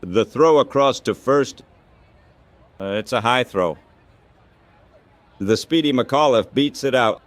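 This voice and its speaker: A man calling baseball play-by-play in measured, clipped phrases, like scripted radio commentary. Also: medium pitch, animated, no room sound.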